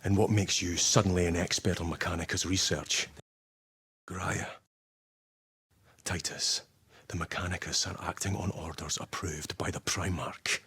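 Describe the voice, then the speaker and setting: Husky, stern military commander. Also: male voice, low voice, fairly even intonation, unhurried, pauses often, fairly bright tone, full character voice. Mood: cold, restrained. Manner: clipped, authoritative, terse orders. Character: stern, commanding male Space Marine captain, battle-hardened officer. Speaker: military commander in a video game. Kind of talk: dramatic video game voice acting, mission briefing.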